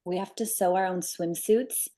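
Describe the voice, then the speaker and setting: A woman's voice: fairly low pitch, fairly even intonation, normal speed, dark tone, close to an everyday voice. A woman playing an anxious, complaining young beauty queen in a screenplay table read, testy and whiny. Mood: dismayed.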